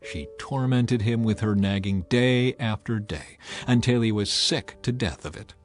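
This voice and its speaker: A man reading a dramatized Bible as narrator, with measured, storytelling delivery. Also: fairly low pitch, soft background music. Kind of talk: dramatized scripture narration, scripted.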